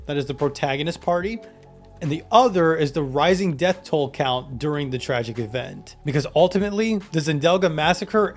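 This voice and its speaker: A man with a slightly high voice delivers a scripted explainer. He presents like an author walking through his own work, formal and emphatic as he moves from point to point. Music plays softly underneath his voice. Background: background music.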